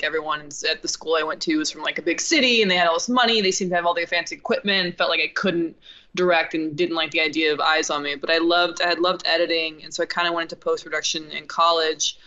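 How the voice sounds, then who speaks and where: A woman's voice: sits low, bright, forceful tone, highs cut off. A woman film director as an interview guest, talking candidly and casually, swearing now and then and restarting sentences.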